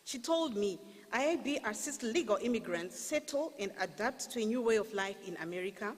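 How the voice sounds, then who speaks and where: A woman from Zimbabwe with a Zimbabwean accent, giving a deliberate, formal testimonial speech. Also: a little high, expressive. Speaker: training graduate giving a testimonial. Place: large indoor hall, public event. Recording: echoey hall with long reverb.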